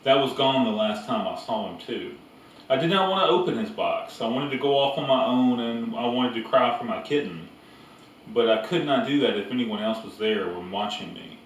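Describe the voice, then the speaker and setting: A man reading a story aloud as narrator, with deliberate phrasing and pauses. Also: mid-range voice, animated. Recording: echoey room, distant mic, background noise.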